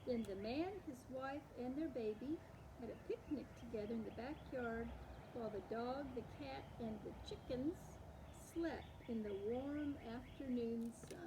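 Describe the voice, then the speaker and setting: A woman hosting storytime, reading picture books aloud to young children in an expressive, animated way that acts out the words. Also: fairly high pitch, noisy background. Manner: animated, acts out words with slowed and varied delivery. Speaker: storytime host.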